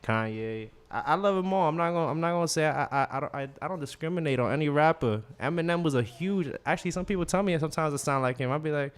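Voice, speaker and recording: A man's voice: on the high side, fast, hardly any pauses, clear, resonant tone. A man in his twenties from Queens, New York, a rapper chatting casually in a studio interview and acting out what he said to others. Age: 20s. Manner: animated, acts out quotes, restarts often. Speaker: rapper guest.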